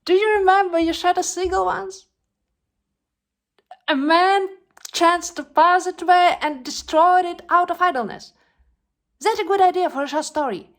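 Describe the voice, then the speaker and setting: A woman playing a distraught, exhausted young actress in a theatrical stage monologue, halting and repeating herself, breathy and shifting between hushed and loud delivery. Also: sits high, animated, full character voice. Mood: agitated, confused.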